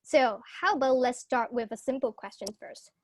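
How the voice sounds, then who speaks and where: A woman's voice: high voice, moderate pace, dry recording, studio quality. A young woman co-hosting a podcast interview, asking friendly, casual questions through wired earphones on a video call. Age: young adult. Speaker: podcast co-host. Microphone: wired earphone mic on video call.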